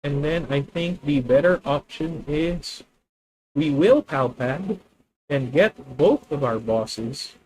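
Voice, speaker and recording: A young man with a Filipino accent plays a card game live and talks through every move as he makes it. He often stops to correct himself and sometimes turns to speak to his viewers. His voice sits fairly high for a man. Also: slow, darkish tone, poor audio. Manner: thinking aloud, self-correcting, addresses viewers. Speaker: streamer. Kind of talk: live gameplay commentary.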